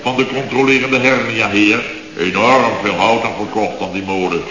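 An actor playing a grumbling, exasperated middle-aged contractor who rants sarcastically in clipped half-sentences in a radio comedy. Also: male voice, fairly even intonation, normal speed, roomy sound, in a large hall, crowd noise, close to an everyday voice. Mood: sardonic. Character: grumbling, exasperated male building contractor, long-winded storyteller. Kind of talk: 1970s radio comedy acting. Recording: boomy, muffled old radio recording.

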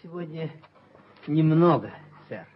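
A man's voice: on the high side, animated, takes it slowly, husky, heavily acted. A man playing a timid, fawning, downtrodden rent collector in a radio play, hesitant and deferential in his speech. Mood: obsequious. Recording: dull, noisy 1970s radio recording.

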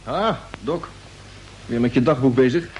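A man's voice: medium pitch, a little slow, highs cut off, natural voice. An actor playing a chatty, good-humoured spaceship crewman in a radio drama, informal and playful. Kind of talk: Dutch radio drama dialogue. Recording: noisy vintage radio play, cabin ambience.

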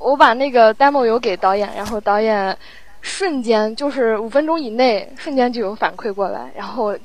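A woman chatting casually in an interview as a guest sound editor, telling her experiences in an animated, rambling way. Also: a little high, normal speed. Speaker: audio drama post-production sound editor, guest.

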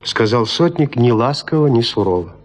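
A man's voice: mid-range voice, lively intonation, normal speed. A man narrating a literary story as the scripted narrator of an audio drama.

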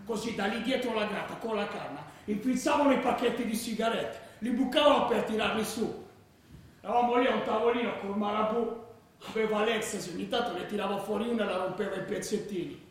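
A man's voice: sits high, fairly quick, lightly acted. An actor playing a young male football ultra, telling rowdy stories in colloquial radio-drama style. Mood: animated recounting. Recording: very echoey, distant, faint noise.

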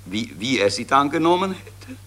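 An actor playing a bumbling, condescending Austrian general staff officer on the telephone in a satirical radio play, speaking colloquial Viennese. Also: male voice, medium pitch, big pitch swings, a bit bright. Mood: exasperated, scheming. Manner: colloquial, condescending, haltingly repeating the other side.

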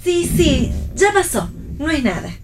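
An actress playing a guarded, wry young pregnant wife in a radio play, with an Argentine accent and a curt, dry manner. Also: female voice, high-pitched, sing-song, a little slow, soft background music, exaggerated voice. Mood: guarded, placating. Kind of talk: radio theatre play reading.

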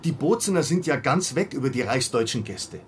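A man's voice: mid-range voice, big pitch swings, brisk, lightly acted. An actor playing a talkative, eager local man who explains things earnestly in a German radio play. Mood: eager, chatty. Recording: distant, with faint ambient noise.

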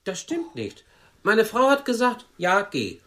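An older actor playing a meek, lonely old widower in a radio play, awkwardly polite and hesitant. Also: male voice, high-pitched, huge pitch swings, unhurried, a bit bright, heavily acted. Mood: hurt, defiant. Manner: hesitant, apologetic, formally polite.

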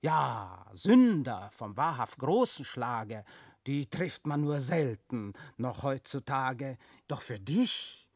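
Creepy soul collector in a radio play, sardonic, slow and deliberate, voice swoops around a lot. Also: male voice, mid-range voice, big pitch swings, dry recording, studio quality, exaggerated voice. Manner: deliberate, mock-friendly, sardonic. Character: uncanny male button moulder collecting souls, sly, unbending. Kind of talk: theatrical German radio drama acting in verse.

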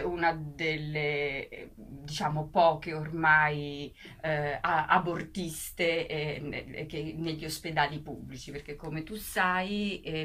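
A woman radio guest giving an explanation in interview style, halting at times and emphatic on key words. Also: low voice, a bit bright. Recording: faint background noise.

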